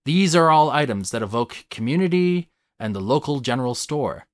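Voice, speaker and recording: A man reading a scripted, analytical video essay in a measured, articulate way, stressing key words. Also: medium pitch, animated, no echo, studio quality.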